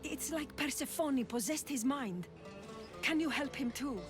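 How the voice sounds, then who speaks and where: Anxious, high-voiced village mother. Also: expressive, measured pace, music in the background. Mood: worried, pleading. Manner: dramatic, urgent, formal wording. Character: anxious mother villager, secretive and fearful for her son. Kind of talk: video game cutscene acting.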